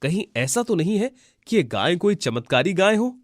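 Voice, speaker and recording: Earnest cartoon village husband, acted by a man: highish voice, very lively intonation, polite, emphatic. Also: moderate pace, no echo, clean studio sound. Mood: amazed.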